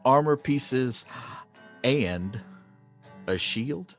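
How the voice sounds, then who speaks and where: A man's voice: medium pitch, expressive, extremely slow, soft background music. A man playing a folksy, gullible country customer in a comic radio ad, drawling and bemused.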